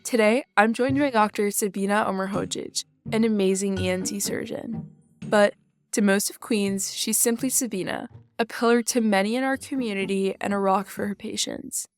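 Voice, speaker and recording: A woman's voice: medium pitch, fairly even intonation, moderate pace, over music. A teenage girl from New York City, a student reporter asking a guest admiring, partly scripted interview questions. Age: teens.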